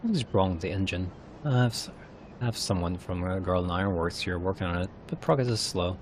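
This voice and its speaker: Low-voiced man reading game dialogue. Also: fairly quick, slight background noise. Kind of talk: dramatised narration of game cutscenes.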